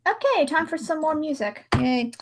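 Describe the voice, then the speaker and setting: A woman hosting a casual livestream, chatting in an expressive, exclamatory and easily distracted way. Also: sits high, moderate pace. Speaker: livestream host editing videos.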